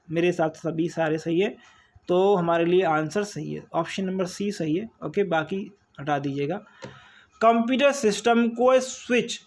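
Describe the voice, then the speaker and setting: A man with an Indian accent giving an informal exam-prep lesson, reading out quiz questions and explaining them with repeated check-in questions. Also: high voice. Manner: repetitive, checks in with listeners using questions and frequent tags. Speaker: online exam-prep teacher.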